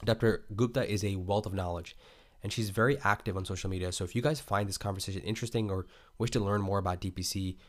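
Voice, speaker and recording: A man's voice: fairly low pitch, fairly even intonation, quick pace, few pauses. A male podcast host who reads a guest introduction and then asks loose, rambling questions full of fillers. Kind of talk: read intro, then casual interview chat.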